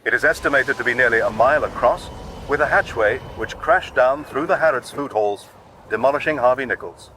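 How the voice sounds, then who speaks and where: A man's voice: mid-range voice, a little fast, pushed and bright, a little hiss or hum, lightly acted. A man playing a dramatic radio news reporter, giving a scripted eyewitness report. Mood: grave, dramatic. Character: urgent radio news reporter describing an alien landing.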